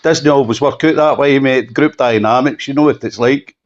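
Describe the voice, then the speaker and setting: A Scottish man giving an unscripted telephone interview, halting and often repeating himself for emphasis. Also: medium pitch. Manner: halting, repetitive, emphatic restatements. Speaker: campaigner being interviewed. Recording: dull lo-fi phone call.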